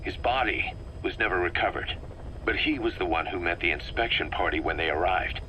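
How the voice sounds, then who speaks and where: A man's voice: low voice, fairly quick, bright, forceful tone. A man playing a bitter, driven middle-aged military officer in a video game, briefing in a clipped, emphatic way. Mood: bitter, vengeful. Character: bitter, driven military second-in-command, vengeful and intense. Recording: faintly noisy cassette tape recording.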